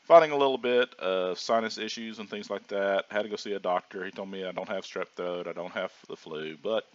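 A hoarse man casually narrating his own gameplay as a channel host, rambling with plenty of ums and restarts. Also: low voice, a little fast. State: hoarse from sinus trouble. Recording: dull lo-fi audio.

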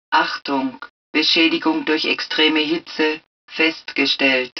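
A dull, slightly distant game recording carries the calm computer voice of a combat suit. She speaks very slowly in measured, clipped phrases. Every announcement is impersonal and matter-of-fact. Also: on the low side, creaky, full character voice. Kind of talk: scripted system announcements in a video game.

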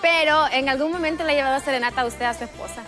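A woman's voice: high voice, very fast, music in the background. A young woman hosting a TV talk show, chatty and cheerful, asking a guest questions with lots of tag questions on a studio set. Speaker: TV host. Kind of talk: interviewing a guest.

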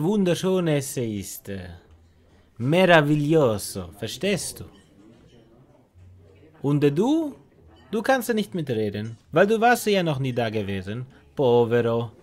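Lively Italian prison schemer. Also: male voice, medium pitch. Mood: wistful, dramatic. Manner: halting, peppered with Italian words, broken grammar. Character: scheming, sentimental Italian male prison inmate. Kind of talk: parody audio drama acting.

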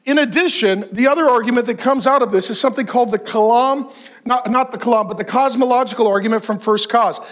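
A man of fifty-one preaching a sermon on a large church stage, animated and joking as he gestures. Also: very high-pitched, fast talker. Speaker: pastor.

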